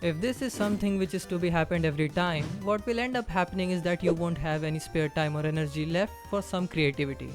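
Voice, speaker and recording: A man's voice: fairly high pitch, fast talker, few pauses, faint music behind. A man from India with a strong Indian accent, narrating a scripted explainer and addressing the viewer directly.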